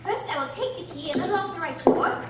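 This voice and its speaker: Outspoken storybook girl played by a woman: very high voice, radio-play acting, distant mic in a noisy reverberant hall. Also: animated, brisk. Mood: curious. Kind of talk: stage radio drama acting. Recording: echoey hall, distant mic, loud noise.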